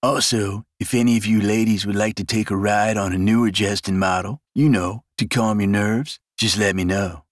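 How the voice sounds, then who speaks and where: A man's voice: on the low side, steady tone, normal speed, hardly any pauses, dry recording, clean studio sound, close to an everyday voice. A man voicing a smug, crude young male clone who taunts with slang.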